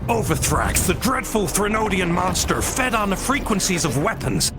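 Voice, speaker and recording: An actor playing a theatrical game storyteller, grandly declaiming heroic war legends with drawn-out emphasis. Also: male voice, sits high, sing-song, over music. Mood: grave, epic. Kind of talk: dramatic storytelling narration.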